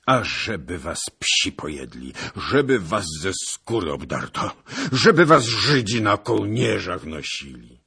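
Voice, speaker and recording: A man's voice: low-pitched, very animated, a little slow, slightly rough, full character voice. A man voicing a portly, boastful old nobleman who talks in a bombastic, theatrical, lamenting way in an audiobook. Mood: cursing.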